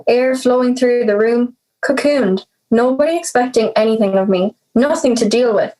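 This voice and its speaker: A woman's voice: fairly high pitch, normal speed, warm tone, close to an everyday voice, rough recording. A young woman playing an anxious, reluctant, brooding teenage girl in an audio drama. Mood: dreamy. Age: teenager or young adult. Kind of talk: audio drama monologue and dialogue.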